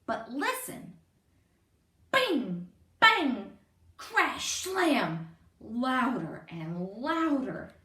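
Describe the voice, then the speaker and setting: A woman reading a children's picture book aloud in an expressive, emphatic way. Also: fairly high pitch, drawn-out words, pauses often. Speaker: storyteller. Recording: roomy, distant microphone.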